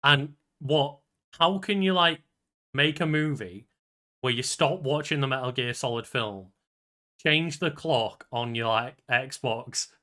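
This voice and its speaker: A man's voice: mid-range voice, takes it slowly, pushed and bright. A man co-hosting a podcast in a casual, conversational style. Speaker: podcast co-host.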